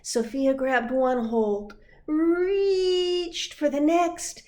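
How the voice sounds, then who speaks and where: A woman pastor reading a children's picture book aloud in an expressive storytelling style. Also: sits high, drawn-out words.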